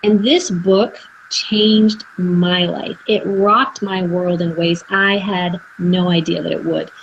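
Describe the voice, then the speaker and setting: A woman's voice: fairly low pitch, steady tone, slow pace, dull audio, a little hiss or hum. A woman hosting a live video chat, telling her own story earnestly with fillers and restarts. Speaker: host. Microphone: video call.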